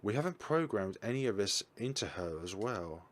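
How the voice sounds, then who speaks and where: A man voicing a brisk young woman researcher. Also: lowish voice, big pitch swings. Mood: explaining.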